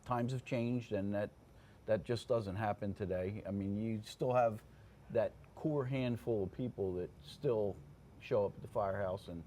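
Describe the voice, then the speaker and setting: A man's voice: lowish voice, measured pace, dark tone. Plainspoken older man, noisy room. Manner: plainspoken, checks in with "okay?" and "you know". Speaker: veteran fire officer, interview guest. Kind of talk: casual conversational interview. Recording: clear background room noise.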